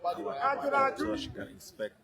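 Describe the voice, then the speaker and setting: A man's voice: very high voice, wildly swooping pitch, unhurried. A man from Cameroon who organizes the event, answering interview questions in an upbeat, reassuring way. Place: large outdoor expo venue. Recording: loud crowd behind. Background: crowd noise.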